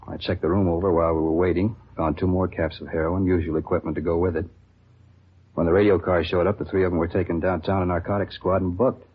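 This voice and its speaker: A beat officer in a vintage radio crime drama. He talks fast and matter-of-factly in a low, husky voice, guarded and plain. The sound is dull and lo-fi. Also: level tone. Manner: casual, matter-of-fact police slang. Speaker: actor in a radio police drama. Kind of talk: 1950s radio acting, conversational dialogue. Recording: dull, lo-fi old radio recording.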